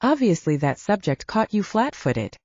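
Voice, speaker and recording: A candid young woman from an audiobook romance, acted out by a woman narrator. She teases playfully with animated intonation and a mellow tone. The recording is dry and close. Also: mid-range voice, normal speed, breathy edge, no echo, highs cut off. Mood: teasing.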